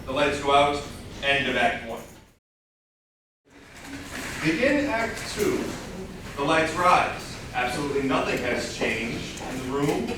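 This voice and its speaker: A man's voice: medium pitch, expressive, slow, long pauses. A man narrating stage directions from a script at a staged reading. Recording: very echoey room, distant mic, noise.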